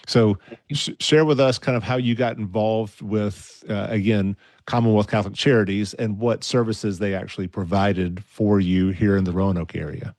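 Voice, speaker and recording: A low-voiced man hosts an interview show, prompting his guest with encouraging questions. He stumbles into a few restarts along the way. His voice is recorded dry and close, with no room sound. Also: fairly even intonation, moderate pace, dry recording. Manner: warm, encouraging, with hesitant restarts. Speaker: radio show host.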